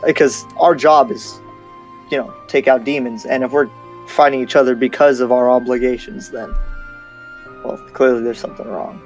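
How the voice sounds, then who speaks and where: A man's voice: medium pitch, fairly even intonation, normal speed, soft background music, close to an everyday voice. A man playing a frank, level-headed demon slayer in an improvised anime game roleplay, talking casually and reasoning as he goes. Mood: reasonable, agreeing. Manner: casual, conversational, hedging as he reasons.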